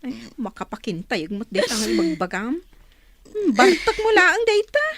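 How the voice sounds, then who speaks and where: A woman's voice: sits high, sing-song, normal speed, fairly bright tone. A woman playing a concerned, exasperated young friend in an audio drama, pleading expressively with an Ilocano Filipino accent.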